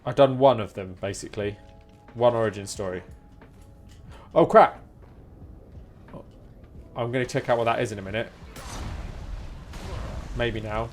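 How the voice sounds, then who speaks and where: A man's voice: mid-range voice, unhurried, long pauses, a little mellow, faint music behind. A man with a British accent streaming a game, giving casual, chatty commentary and reacting aloud as he goes. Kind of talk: casual live gameplay commentary.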